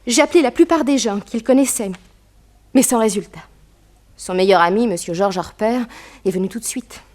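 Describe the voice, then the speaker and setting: A woman's voice: fairly high pitch, normal speed, a little mellow, close to an everyday voice. An actress playing an anxious, determined young businessman's daughter in a 1960s French radio drama, speaking earnestly and emphatically. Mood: earnest, urgent. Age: young adult. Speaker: actress in a detective radio serial.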